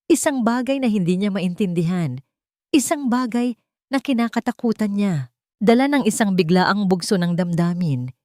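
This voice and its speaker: A woman's voice: mid-range voice, darkish tone, no room sound, studio quality. A woman reading a scripted, dramatic love story as a storyteller.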